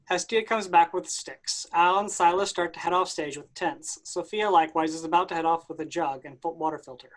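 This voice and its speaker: Woman narrating stage directions in a play reading, lowish voice. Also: fairly quick, few pauses.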